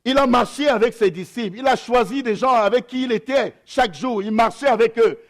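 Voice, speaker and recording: High-voiced pastor preaching with hesitations. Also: male voice. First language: African mother tongue. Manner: reads scripture slowly, then preaches with hesitations and repeated phrases. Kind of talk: sermon.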